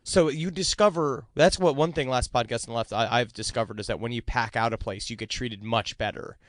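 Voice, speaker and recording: A male comedian guest in casual podcast banter, animated and jokey, riffing with mock-philosophical rants. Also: medium pitch, fairly quick, dry recording, clean studio sound.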